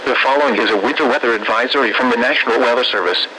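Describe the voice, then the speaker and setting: Robotic guy reading the weather on radio, sharp bright tone, formal n measured, bit of noise behind it. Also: medium pitch. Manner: formal, measured, synthetic phrasing. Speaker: automated weather radio announcer. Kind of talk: scripted weather bulletin read-out. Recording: noisy weather radio broadcast.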